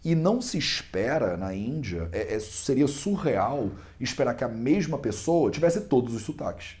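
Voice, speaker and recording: Guest interviewee: young Carioca man, mellow, dark-toned voice, explains patiently by analogy. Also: mid-range voice, big pitch swings, moderate pace. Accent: Carioca Brazilian Portuguese. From Brazil. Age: young adult. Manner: patient explaining with everyday analogies, frequent restarts. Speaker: Ayurveda student interviewed as a guest.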